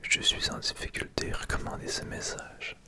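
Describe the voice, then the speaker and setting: A person with a Québécois French accent whispering casual ASMR gameplay commentary in short remarks, breathy and close to the microphone. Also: moderate pace. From Quebec, Canada. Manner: soft, casual, reacting to the game in short remarks. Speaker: gaming ASMR video creator.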